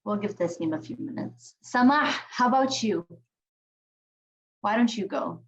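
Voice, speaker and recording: A woman's voice: mid-range voice, expressive, a little slow, highs cut off. A woman facilitating an online youth check-in, affectionate and encouraging, praising each young speaker in turn. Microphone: video call.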